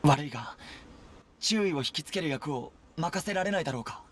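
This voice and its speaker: An actor playing a serious military commander in a video game, brisk and earnest. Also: male voice, a little high, lively intonation, lightly acted.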